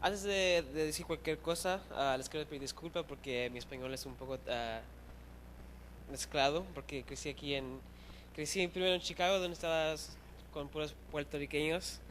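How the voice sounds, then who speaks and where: Young man preaching with high, animated voice. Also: moderate pace, slight background noise, poor audio. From Chile. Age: young adult. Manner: animated, self-deprecating, mixes in English words. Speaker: youth preacher. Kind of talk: casual storytelling sermon. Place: church hall.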